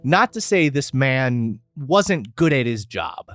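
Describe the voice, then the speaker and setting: Animated man in casual podcast chat. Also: mid-range voice, takes it slowly, soft background music. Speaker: podcast co-host.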